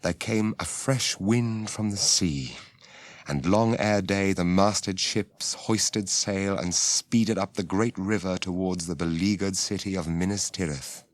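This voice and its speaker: A man reading scripted narration for a 1980s radio drama in a measured storytelling manner. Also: low-pitched, dry recording.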